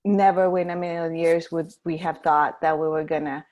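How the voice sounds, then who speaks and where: A woman from Guatemala, a guest on a video-call webinar, who talks haltingly with many fillers and restarts. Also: low voice, fairly even intonation, darkish tone. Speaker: coffee importer guest. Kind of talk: webinar interview.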